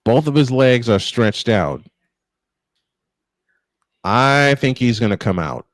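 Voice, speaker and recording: A man's voice: medium pitch, sing-song, slow pace, no echo, studio quality. A man calling baseball play-by-play live, mixing it with casual chat in a folksy, exclamatory way.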